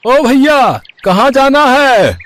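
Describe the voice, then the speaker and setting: A man's voice: very high-pitched, big pitch swings, slow, fairly bright tone, a little hiss or hum, exaggerated voice. A man voicing a friendly, helpful auto-rickshaw driver in a children's cartoon story, eager and reassuring. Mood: cheerful, helpful.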